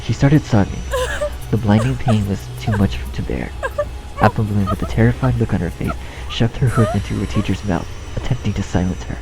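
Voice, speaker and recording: A man's voice: lowish voice, a little fast, low-quality recording. A man reading a scripted story as narrator, in a dramatic storytelling style. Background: music.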